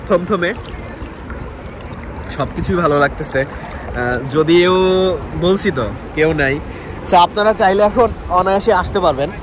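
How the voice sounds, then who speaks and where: A young man from Bangladesh vlogs from the beach, holding his phone out and chatting in a loose, rambling way with plenty of fillers. His voice sits fairly high for a man. Waves and wind roar clearly behind him. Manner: chatty, loose, with many fillers and English words mixed in. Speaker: travel vlogger. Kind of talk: casual travel vlog to camera. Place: outdoors in the sea and on a beach. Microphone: phone held at arm's length. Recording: windy beach noise and surf.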